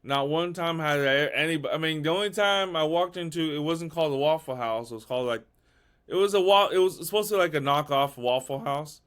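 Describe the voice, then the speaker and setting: A man telling personal stories as a podcast guest, animated and repeating key lines. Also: fairly high pitch, fairly quick, smooth. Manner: animated storyteller, repeats himself. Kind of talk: casual storytelling.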